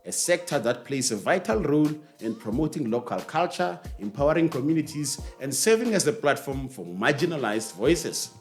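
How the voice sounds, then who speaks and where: A man with a South African accent hosting a scripted explainer, measured and stressing key phrases. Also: mid-range voice, big pitch swings, soft background music. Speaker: host of a series on radio.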